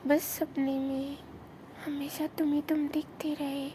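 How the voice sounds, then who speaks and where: A woman acts out a lovesick young sister-in-law on a secret night call. Her voice is high, soft and breathy, with a plaintive, pleading way of talking. The phone recording has clear background noise. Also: flat delivery, takes it slowly. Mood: wistful, longing. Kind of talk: intimate staged phone-call acting in casual Hindi.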